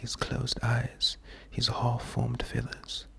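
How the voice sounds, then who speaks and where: A man doing a slow, soft-spoken ASMR poetry reading, gentle and calming, half-whispered with a breathy, creaky voice. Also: medium pitch, no echo. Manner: gentle, unhurried, calming, often repeating words. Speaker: ASMR host.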